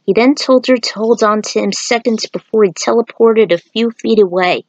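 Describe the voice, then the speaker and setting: A woman's voice: a little high, normal speed, darkish tone, dry recording, muffled recording. A woman reading her own fan fiction aloud as an amateur narrator and adding author's notes. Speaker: fan fiction writer and podfic reader.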